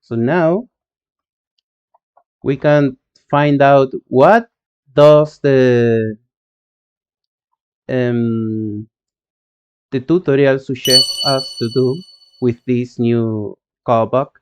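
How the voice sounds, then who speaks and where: A man from Argentina with an Argentine Spanish accent, a native Spanish speaker live-streaming his coding and reading chat, talking in halting phrases with many fillers and restarts. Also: medium pitch, fairly even intonation, clear, resonant tone.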